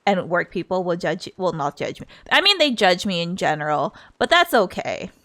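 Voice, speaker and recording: A woman's voice: lowish voice, big pitch swings, normal speed, a bit bright. A woman livestreamer chatting casually in a playful, giggly, rambling way. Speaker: streamer.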